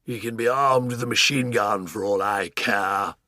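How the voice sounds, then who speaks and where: An actor playing a pompous, blustering old duke who bellows his orders slowly and emphatically. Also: mid-range voice, creaky, bright, forceful tone, no echo, studio quality, heavily acted.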